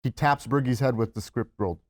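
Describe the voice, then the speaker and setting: A man's voice: medium pitch, a little fast. A man reading out stage directions as scripted narration at a screenplay table read.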